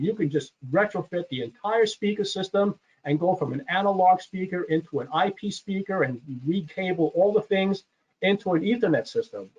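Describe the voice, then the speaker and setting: A man presenting a product webinar in a conversational, explanatory way, stressing his key points. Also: sits high. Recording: dull, lo-fi webinar audio.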